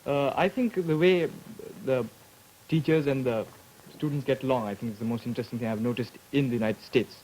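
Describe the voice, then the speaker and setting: A man's voice: mid-range voice, a little fast, a little mellow, a little hiss or hum, rough recording. A young man in his late teens from India, hesitantly searching for words as a student delegate.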